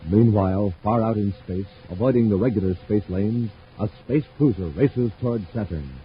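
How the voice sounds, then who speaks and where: A man's voice: lowish voice, moderate pace, close to an everyday voice. A man narrating a 1950s radio serial as announcer, formal and dramatic. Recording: muffled old radio recording, faint noise.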